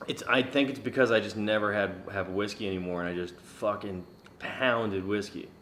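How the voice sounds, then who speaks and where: A man's voice: fairly low pitch, steady tone, moderate pace, a bit bright, a little hiss or hum. A young man co-hosting a crude, casual podcast chat. He is hungover, rambles and cracks jokes with frequent laughs. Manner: rambling, joking, laughs often. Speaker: podcast co-host. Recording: roomy echo.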